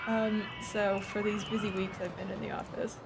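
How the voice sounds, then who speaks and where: A woman livestreaming a game, chatting casually, laughing often and swearing freely, her voice a little hoarse. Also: medium pitch, flat delivery, a little slow. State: slightly hoarse. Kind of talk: casual gameplay chat. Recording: noisy home stream recording.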